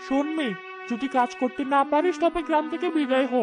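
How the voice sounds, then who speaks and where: A woman voicing a mean, sharp-tongued village woman in a cartoon, taunting and scornful. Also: high-pitched, fast, over music, lightly acted. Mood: mocking, contemptuous. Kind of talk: cartoon fairy-tale dialogue.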